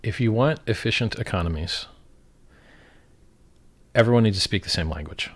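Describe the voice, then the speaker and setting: A man's voice: lowish voice, normal speed. A man giving an opinionated, casual explanation, blunt and emphatic, repeating himself and swearing freely.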